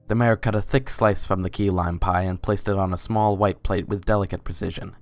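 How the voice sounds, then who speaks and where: A man's voice: sits low, steady tone, fairly quick. A man reading scripted story narration in a dramatic reading. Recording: music under the voice. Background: background music.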